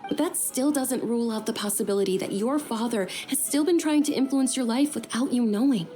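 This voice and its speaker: An actress playing a gentle young noblewoman in a game, speaking softly and courteously. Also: on the high side, brisk, soft background music, natural voice. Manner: soft, courteous, careful. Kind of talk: game voice acting.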